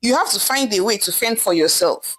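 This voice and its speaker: A woman's voice: mid-range voice, expressive, a little fast, fairly bright tone, husky. A woman voicing a harsh village father in a folktale, scolding and dismissive. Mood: angry, dismissive. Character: harsh, callous village father of many children.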